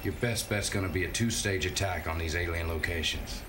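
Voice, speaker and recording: A man's voice: sits low, brisk, lightly acted. A man voicing an uneasy, blunt male army officer in a game, talking in a casual, slangy, candid way. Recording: distant mic, loud background noise.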